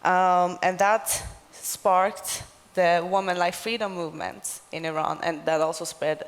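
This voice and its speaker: A young woman speaks in a fairly low voice on a conference panel. She tells a personal account, and the large hall adds a long reverb around her. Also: fairly even intonation, a little slow. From Gothenburg, Sweden. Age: 20s. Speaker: panelist, activist.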